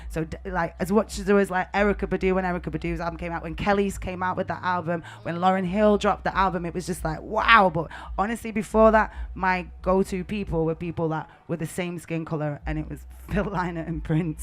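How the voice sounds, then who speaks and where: A woman talks on a festival panel in a loose, rambling way. She strings her thoughts together casually, with plenty of fillers along the way. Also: mid-range voice. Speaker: panel guest, music artist.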